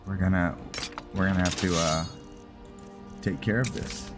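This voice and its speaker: A man with a low voice commentates on a game as he plays. He speaks slowly and haltingly, thinking aloud as he goes, with clear music behind him. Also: steady tone. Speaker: gaming video host, player. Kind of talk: unscripted game commentary, roleplay musing.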